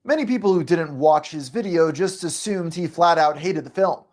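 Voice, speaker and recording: A man reading scripted documentary narration in a measured, even way. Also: fairly high pitch.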